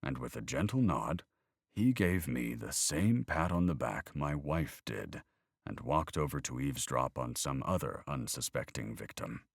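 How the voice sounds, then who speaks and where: A man reading an audiobook, voicing a weary older man who talks in a measured, reflective way. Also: a bass voice, expressive, creaky, breathy edge, dry recording, studio quality, full character voice. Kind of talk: audiobook character voice.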